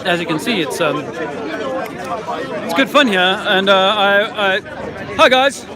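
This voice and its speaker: A man's voice: high-pitched, expressive, measured pace. A man in his fifties, an army veteran filming himself outdoors, talking in a profane, rambling, insistent way. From South Africa. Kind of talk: street-corner conspiracy monologue. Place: outdoor public speaking park. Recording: outdoor crowd noise behind.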